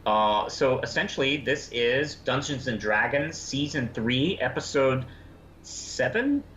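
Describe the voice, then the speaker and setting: A man co-hosting a review show, explaining things in casual chat with halting pauses. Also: mid-range voice, expressive, fairly bright tone. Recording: dull, roomy sound with faint noise.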